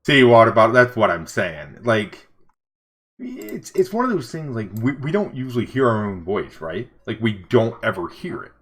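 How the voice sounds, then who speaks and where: A middle-aged man with a deep voice, chatting casually on a livestream as he draws and answers chat. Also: moderate pace. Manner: relaxed, rambling, reads and answers chat. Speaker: livestreaming vector artist. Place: home studio desk.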